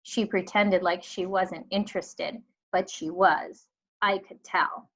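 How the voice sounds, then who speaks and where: A woman reading a children's novel aloud in an expressive, measured way, acting out the dialogue. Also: fairly low pitch. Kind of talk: expressive storybook read-aloud. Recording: dull lo-fi recording.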